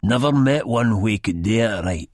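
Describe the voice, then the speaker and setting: Man acting a menacing, husky Scottish thug. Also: fairly low pitch, expressive, moderate pace, a little mellow, no room sound, clean studio sound. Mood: bullying, sneering. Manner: snapping, bullying, sneering. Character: menacing, foul-mouthed young Scottish thug.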